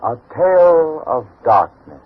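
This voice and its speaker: Slow, ominous radio mystery narrator. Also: male voice, on the high side, big pitch swings, soft background music. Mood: ominous, hushed. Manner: dramatic, measured, hushed suspense. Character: solemn, ominous male narrator of eerie tales. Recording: narrow-band old radio broadcast.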